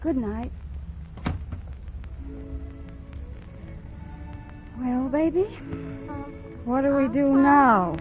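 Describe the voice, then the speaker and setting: A muffled, lo-fi 1940 radio recording of a young shopgirl. Her voice is very high, and she speaks in a plucky, wry, plain-spoken way, in old radio comedy style. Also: slow pace, faint music behind. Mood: wry musing. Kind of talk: 1940s radio comedy acting.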